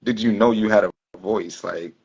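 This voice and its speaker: A man's voice: on the low side, steady tone, moderate pace, gravelly, darkish tone, slightly rough. A male interview host, laid-back, asking questions in casual chat with many short agreements. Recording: dull, lo-fi sound.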